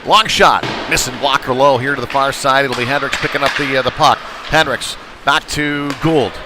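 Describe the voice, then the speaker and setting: A man's voice: mid-range voice, normal speed, background noise. A man calling live hockey play-by-play in clipped present-tense phrases that build with the action. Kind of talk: live sports commentary.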